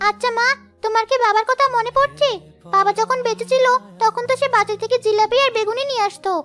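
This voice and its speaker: A woman's voice: very high voice, steady tone, quick pace, fairly bright tone, soft background music. A woman voicing a kind, obedient poor young girl in a cartoon, earnest and polite. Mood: wistful. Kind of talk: cartoon dialogue.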